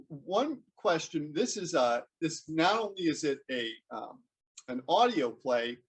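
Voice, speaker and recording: Higher-pitched man hosting an interview. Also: slightly rough. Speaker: interviewer, host. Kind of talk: conversational interview questioning.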